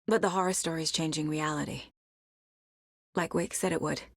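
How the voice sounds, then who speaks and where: A woman's voice: sits low, steady tone, normal speed, dry recording. An actress voicing a focused, determined female investigator in a video game, troubled but dryly wry. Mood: resolute. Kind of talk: dramatic game voice lines.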